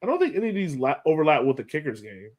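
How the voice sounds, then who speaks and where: A man hosting a sports podcast in casual chat, animated and often repeating phrases for emphasis. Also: a little high, rapid-fire. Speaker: podcast host.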